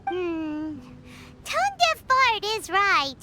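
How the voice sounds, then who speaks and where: A woman voicing a tiny, excitable, childlike fairy companion in a video game, chirpy and bossy. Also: very slow, over loud music, heavily acted. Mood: pondering. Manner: squeaky, chirpy, exclamatory.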